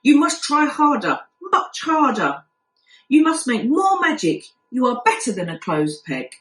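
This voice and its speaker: A woman's voice: sits high, very animated, measured pace, a bit bright, distant mic, exaggerated voice. A woman voicing a kindly but bossy little female fairy doll in a storybook, earnest and instructing. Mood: cross. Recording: echoey room.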